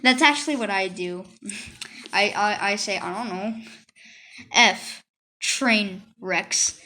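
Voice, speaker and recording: A woman reading a children's book aloud in an expressive, conversational storytelling style with asides. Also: mid-range voice, slow. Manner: conversational first-person delivery with asides. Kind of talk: expressive read-aloud narration.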